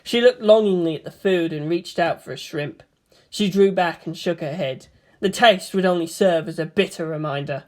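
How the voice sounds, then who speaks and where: Female narrator, lower register, scripted fiction reading. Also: normal speed.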